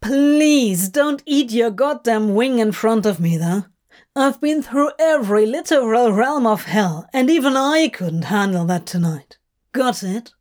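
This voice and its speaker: A woman's voice: fairly high pitch, animated, a little slow, a little mellow. A woman voicing a sardonic male demon in a story, blustering, exasperated and reassuring by turns.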